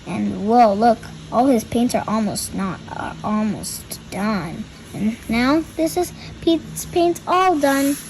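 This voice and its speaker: A woman's voice: fairly high pitch, expressive, slow, few pauses, darkish tone, a little hiss or hum, poor audio. A young girl reading a picture book aloud and explaining the pictures. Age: child.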